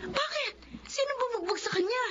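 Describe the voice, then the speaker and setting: A woman's voice: very high voice, lively intonation, a little fast. A woman playing an anxious, easily dismayed older sister in a radio drama. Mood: worried, dismayed. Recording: muffled, faintly noisy radio recording.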